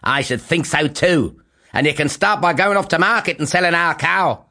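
A man voicing an exasperated mother who scolds in a shrill voice. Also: normal speed, bright, forceful tone. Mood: scolding.

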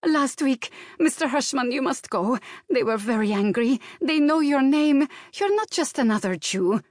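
A woman voicing an excitable, protective Bulgarian maid in an audiobook, with a Bulgarian accent and urgent, rushed broken English. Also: sits high, dry recording, studio quality, voice pushed up. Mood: urgent, pleading. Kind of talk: audiobook character dialogue.

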